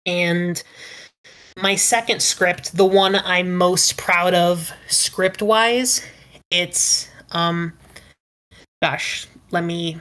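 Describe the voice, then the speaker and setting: Female podcast panelist, reflective writer; lowish pitch, crisp bright edge, casual Q&A delivery. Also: fairly even intonation, extremely slow.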